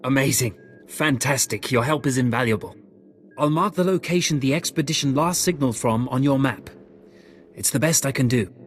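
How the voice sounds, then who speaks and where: A man's voice: medium pitch, normal speed, lightly acted. A man acts an earnest Imperial organizer of expeditions in a video game. His voice sits in a medium register, grave and businesslike, with worry underneath. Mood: grateful. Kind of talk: video game cutscene acting.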